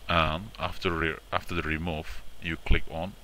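A man presenting an informal step-by-step software tutorial in a repetitive, instructional way, often checking in with short tags. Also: deep voice, little pitch movement, normal speed, slight background noise.

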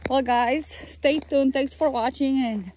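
A woman's voice: high voice, slow, a little mellow, slight background noise. A woman co-hosting an outdoor walking tour of a tree farm, chatty and enthusiastic, with loose, non-standard phrasing. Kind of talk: casual walking tour vlog.

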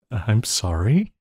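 A man playing an obsessive, lovesick supervillain in an intimate audio roleplay, halting and crooning, by turns sinister and pleading. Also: lowish voice, darkish tone, husky, no room sound, clean studio sound, heavily acted. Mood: sheepish confession. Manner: halting, crooning, breaking into laughter.

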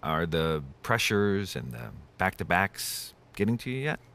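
A genial American TV sports interviewer in a video game. His voice is low and very animated, with a chatty, self-deprecating, slightly hesitant manner. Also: measured pace, heavily acted. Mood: chatty, curious. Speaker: talk show host.

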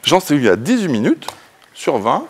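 A man's voice: fairly high pitch, huge pitch swings, normal speed, slight background noise. A man in his thirties with a French accent presents in a lecture room, informally and with self-deprecating jokes. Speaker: conference speaker. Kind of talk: informal tech presentation with live demo.

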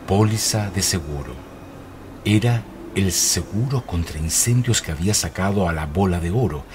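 A man's voice: deep voice, noisy background. A man narrating a radio drama in a scripted, dramatic storytelling style.

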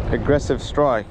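Laid-back Australian fishing guide on a boat. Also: male voice, mid-range voice. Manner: encouraging, instructive, laid-back. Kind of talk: casual on-water coaching. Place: small boat on open water outdoors. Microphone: action camera on the boat. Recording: boat and wind noise outdoors.

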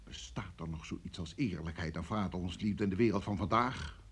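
Husky, authoritative security chief. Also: male voice, low voice, exaggerated voice. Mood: weary, bitter. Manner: authoritative, formal, measured phrasing. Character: senior security service chief, brusque, pressured, formally apologetic. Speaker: actor in a radio play. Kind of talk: vintage Dutch radio drama acting.

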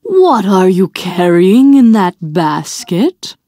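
A crafty, hungry wolf from a fairy tale, acted out by a woman reader. He draws his words out slowly and schemes as he talks. The sound is dry. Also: mid-range voice, sing-song, mellow, no room sound. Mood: slyly curious. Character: sly wicked male wolf, hungry and crafty.

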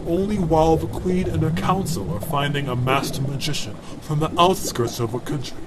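A man's voice: fairly high pitch, fairly even intonation, normal speed, natural voice. A man with a Filipino accent playing a kindly, formal mentor magician in a fantasy audio drama. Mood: earnest, reassuring. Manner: formal, encouraging. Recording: loud background ambience noise.

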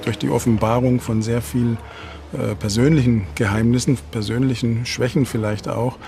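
A man's voice: mid-range voice, fairly even intonation, normal speed, vocal fry, music in the background. A man explaining as an interviewed expert, thoughtful and hesitant, with frequent fillers and small restarts.

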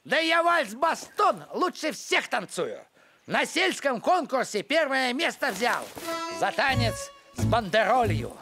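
A creaky, excitable old postman. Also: very high-pitched, takes it slowly, heavily acted. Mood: boastful. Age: older. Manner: grumbling, excitable, theatrical. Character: grumpy, lovestruck older male village postman. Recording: music bed behind the voice.

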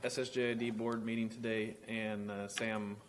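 A man, a council member, giving an informal report with halting, hesitant phrasing. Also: lowish voice, crowd noise. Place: large council chamber. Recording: steady room noise.

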